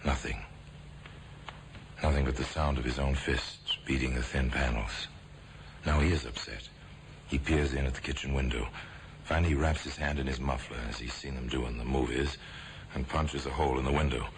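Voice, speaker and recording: A man narrating a radio drama in a measured, ominous way. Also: very deep voice, steady tone, creaky. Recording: hissy old radio broadcast.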